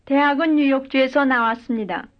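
Woman, model speaker, careful even sentences, high pitch, muffled old recording. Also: a little fast. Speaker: model speaker in a language lesson. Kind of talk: repeating full drill sentences. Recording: dull lo-fi recording.

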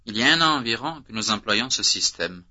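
A man's voice: on the low side, lively intonation, pushed and bright. Man reading drills on dull tape. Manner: slow, deliberate, clearly articulated. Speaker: language course instructor.

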